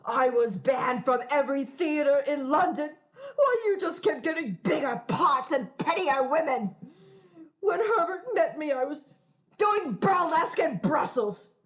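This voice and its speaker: A woman's voice: high voice, sing-song, measured pace. A woman playing a melodramatic, vengeful scorned ex-wife in a radio play, with haughty, theatrical delivery. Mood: aggrieved. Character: vengeful, melodramatic scorned ex-wife posing as respectable society lady.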